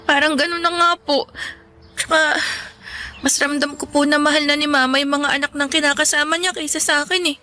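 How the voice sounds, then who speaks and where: Meek high-voiced Filipino teenage daughter. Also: female voice, fairly even intonation, brisk, sharp tone. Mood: pleading, sad. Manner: polite, hesitant, pleading. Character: meek, hurt teenage daughter pleading with her parents. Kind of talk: Filipino radio drama acting.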